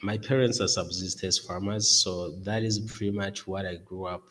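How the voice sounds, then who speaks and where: A man's voice: sits low, fairly even intonation, normal speed, fairly bright tone, a little hiss or hum. A man in his twenties from Rwanda, a podcast guest talking earnestly from his office over a video call, with many fillers and restarts. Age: 20s. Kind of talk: podcast interview.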